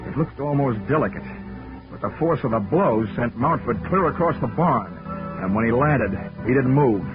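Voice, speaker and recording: A man's voice: mid-range voice, expressive, moderate pace, natural voice. Man playing a dry wisecracking investigator, narrating over music, tinny 1940s radio. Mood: wry. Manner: dry, wry and hard-boiled. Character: wisecracking male insurance investigator.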